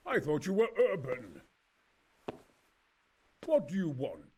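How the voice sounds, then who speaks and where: High, swooping eccentric scholar. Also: male voice, huge pitch swings, takes it slowly, dark tone, slight background noise, heavily acted. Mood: startled, irritable. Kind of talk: dramatic game cutscene acting.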